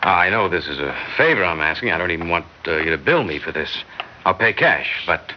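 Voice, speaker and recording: A man's voice: low-pitched, very animated, brisk. A man acting in a classic radio drama as an anxious, guilty middle-aged husband, hesitant, evasive and insistent. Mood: uneasy, persuasive. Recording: muffled, noisy old radio recording.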